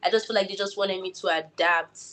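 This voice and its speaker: A woman's voice: medium pitch, fairly even intonation, fairly quick, a bit bright. A young woman with a Nigerian accent, answering interview questions about acting in a thoughtful way, full of likes and restarts. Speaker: guest actor.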